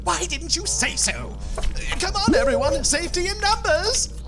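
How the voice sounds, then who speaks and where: A flighty, excitable crewwoman, voiced by an actress in a sci-fi comedy. She is naive and dramatic, with an extremely animated pitch over clear music. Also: moderate pace. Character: flighty, naive, excitable female crew member.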